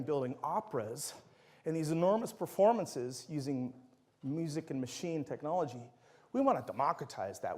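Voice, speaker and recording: A man's voice: a little high, a little mellow. A middle-aged man, a native Dutch speaker, giving an enthusiastic university lecture full of anecdotes. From Netherlands.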